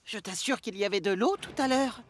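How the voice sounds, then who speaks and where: A woman's voice: fairly high pitch, brisk, lightly acted. A woman voicing a plucky young male tank engine in an earnest, boyish cartoon manner. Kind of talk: children's cartoon dub acting.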